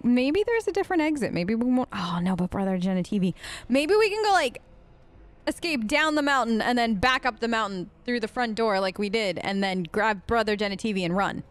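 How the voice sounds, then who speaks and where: A woman's voice: fairly high pitch, expressive, fairly quick. A woman hosting a let's play with casual, wry, hesitant role-play commentary, talking in character.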